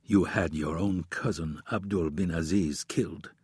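A man voicing a cold, controlled male assassin who speaks low and quietly with menace. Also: unhurried, slightly rough, dry recording, studio quality.

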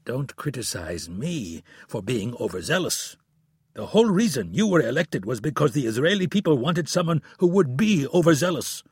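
A hard, uncompromising intelligence chief, put on by a male narrator, blunt and forceful, his voice lifting when he pushes back. The tone is husky and slightly rough, and the read sounds dry and close. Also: medium pitch, very animated, moderate pace, dry recording, voice pushed up, exaggerated voice. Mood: fierce. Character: cold, supremely confident male spy chief.

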